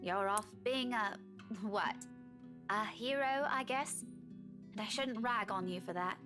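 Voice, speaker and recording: A woman's voice: medium pitch, unhurried, lightly acted. A woman voicing a hurt, resentful young woman with bitter, resigned sarcasm in a visual novel game. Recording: game dialogue with loud backing music.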